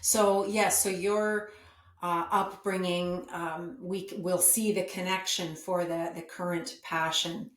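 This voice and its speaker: A woman's voice: fairly low pitch, level tone, takes it slowly, roomy sound. A woman hosting an interview, asking questions and encouraging the guests with frequent murmurs of agreement. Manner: encouraging, many backchannel murmurs. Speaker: podcast host. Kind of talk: interview host.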